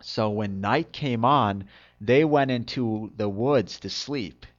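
A man narrating a fairytale as a storyteller, with expressive delivery. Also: medium pitch, slow pace, muffled recording.

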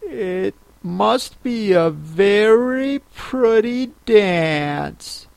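A woman voicing a timid, polite little girl from a storybook, soft and hesitant. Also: mid-range voice, extremely slow, gravelly, no room sound, voice pushed up.

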